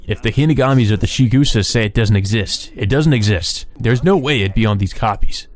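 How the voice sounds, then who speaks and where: Man doing a gruff, wary old village official. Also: fairly low pitch, brisk, lightly acted. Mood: wary, warning. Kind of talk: visual novel character voice.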